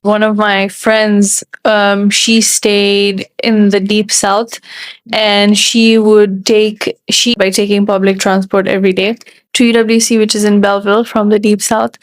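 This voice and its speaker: A woman's voice: mid-range voice, flat delivery, measured pace, low-quality recording. A young woman co-hosting an interview, thoughtful and explanatory as she shares a friend's story. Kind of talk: conversational interview.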